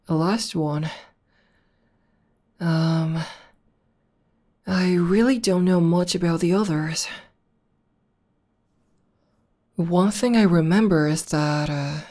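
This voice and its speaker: Casting: female actor for tomboy war demoness, devoted girlfriend in ASMR audio roleplay; mellow, unhurried, halting tenderness. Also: fairly low pitch. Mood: casual, reflective. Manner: soft, halting, tender delivery. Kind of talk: intimate ASMR roleplay acting.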